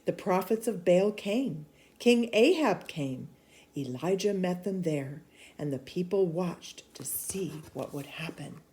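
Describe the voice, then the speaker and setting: Lady reading a kids bible story aloud, super animated, drops to hushed bits, takes her time. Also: on the low side, slow pace, slight background noise. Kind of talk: read-aloud of a children's book.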